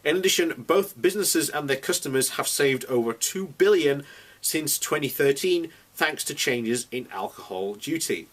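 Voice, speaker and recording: A man's voice: a little high, lively intonation, normal speed, a bit bright. A man with a Yorkshire accent, commenting casually and reading news aloud with frequent ums and self-corrections. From Yorkshire, England. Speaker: vlogger.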